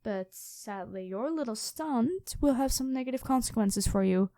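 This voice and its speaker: Mellow, high-voiced regal dragon queen played by a woman. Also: animated, normal speed, no room sound. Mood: explanatory, reproachful. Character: imperious, calmly threatening dragon queen in human form. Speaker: voice actor in an audio roleplay. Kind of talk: ASMR roleplay monologue addressed to the listener.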